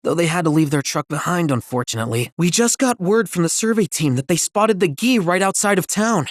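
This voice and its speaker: A man's voice: high-pitched, lively intonation, fairly quick, no echo, clean studio sound. A man playing a friendly, earnest town local in a video game. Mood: concerned. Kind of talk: video game character acting.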